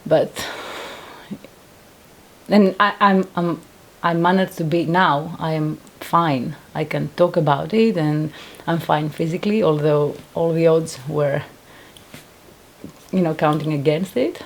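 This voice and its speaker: A woman's voice: deep voice, fairly even intonation, slow pace. A woman in her thirties from Greece, speaking English with a Greek accent, giving earnest personal testimony and searching for words with frequent restarts. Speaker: painter and survivor giving testimony.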